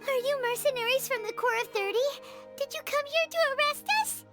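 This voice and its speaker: A woman voicing a small, excitable, childlike fairy companion in a video game, curious and given to thinking aloud with exclamations. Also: a little fast. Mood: startled. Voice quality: squeaky, childlike. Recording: background music behind voice.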